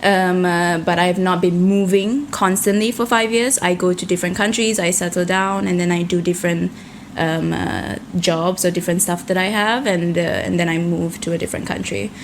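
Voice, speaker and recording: A woman's voice: fairly low pitch, fairly even intonation, normal speed, slight background noise. A young woman from Malaysia, a guest on a casual podcast interview, talking in a friendly, chatty way with plenty of fillers. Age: young adult.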